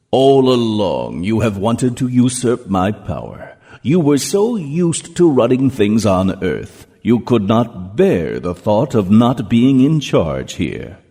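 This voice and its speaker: An actor playing a menacing, gloating ruler of Hell in an audio drama, with a pompous, commanding manner. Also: male voice, on the low side, warm tone, full character voice. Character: menacing male ruler of Hell, cold, gloating, all-powerful. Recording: long cavernous reverb.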